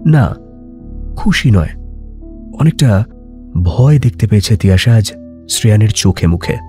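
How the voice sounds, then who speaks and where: A man's voice: on the low side, animated, unhurried, dark tone. A man narrating an audio love story in a dramatic, emotive, literary style. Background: background music.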